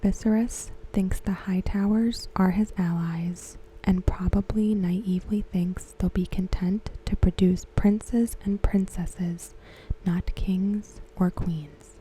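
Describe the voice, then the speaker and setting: A woman giving ASMR commentary in a soft, breathy whisper, hushed and measured. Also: mid-range voice, fairly even intonation, a little hiss or hum, rough recording. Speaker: ASMR host reviewing a TV episode. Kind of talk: ASMR commentary, softly spoken.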